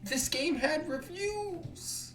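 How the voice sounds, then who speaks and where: A man's voice: extremely high pitch, lively intonation, extremely slow, fairly bright tone, echoey room. A man hosting a game stream, mixing blunt, slangy commentary with reading the game's text aloud.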